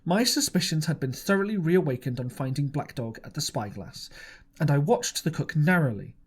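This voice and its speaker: Male audiobook narrator, expressive, slightly high voice with lively pitch. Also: moderate pace, slightly rough. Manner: expressive storytelling. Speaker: audiobook reader. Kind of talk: reading a novel aloud.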